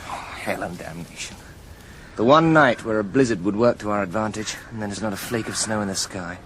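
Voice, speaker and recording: Man playing medieval commander for radio drama: low, brisk, authoritative, noisy setting. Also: a bit bright, natural voice. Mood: frustrated. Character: commanding male medieval leader of a besieging force.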